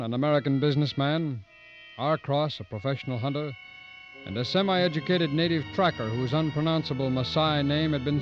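Measured male radio narrator over music. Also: medium pitch. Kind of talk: scripted dramatic radio narration. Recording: dull vintage radio recording.

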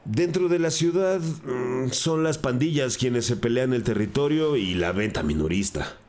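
An actor playing a cornered gang drug dealer in a crime audio drama, defensive, earnest and pleading. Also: male voice, mid-range voice, lively intonation, a little slow, a little mellow.

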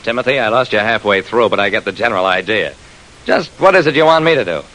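A man's voice: lowish voice, expressive, fast, bright, forceful tone, poor audio. A man playing a terse, wry private detective who narrates his own case in an old-time radio drama. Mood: businesslike. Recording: vintage radio recording.

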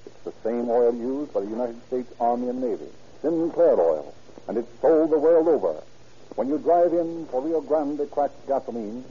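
A male announcer giving a deliberate, persuasive 1930s radio sponsor read. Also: medium pitch, dark tone. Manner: deliberate, persuasive sales delivery. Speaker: announcer and narrator. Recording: dull, hissy old radio recording.

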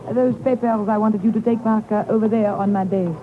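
An actor playing a polite, businesslike crime boss who deals in forged papers in a vintage radio adventure. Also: male voice, high-pitched, fairly even intonation, a little fast, natural voice. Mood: brisk. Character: smooth-dealing male crime boss selling forged passports. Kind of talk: 1950s radio adventure acting. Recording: tinny, noisy old radio recording.

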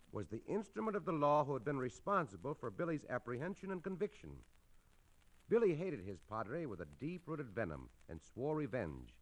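An actor playing a gallant, courteous Mexican cowboy hero with a Mexican accent in an old-time Western radio drama, speaking in measured, formal phrases. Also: male voice, mid-range voice, a bit bright, full character voice, poor audio. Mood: dramatic narration.

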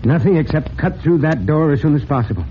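An American man playing a steady, fatherly fire chief, deliberate and commanding, in old-time radio drama style. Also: a little high, lightly acted. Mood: grim. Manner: deliberate, authoritative. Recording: muffled, noisy old radio recording.

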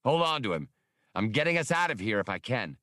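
A man's voice: medium pitch, sing-song, a little fast, pushed and bright, dry recording, clean studio sound. Man acting a brash, cocky space smuggler. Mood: urgent shouting. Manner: taunting, brash. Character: cocky, daring male space smuggler pilot. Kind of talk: dramatized audiobook character voice.

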